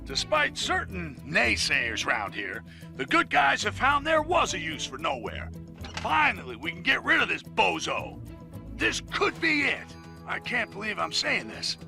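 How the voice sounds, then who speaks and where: A man voicing a cantankerous, excitable middle-aged news broadcaster in a video game, bombastic and grudging. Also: high voice, a little slow, vocal fry, over music, exaggerated voice. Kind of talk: animated game newscast monologue.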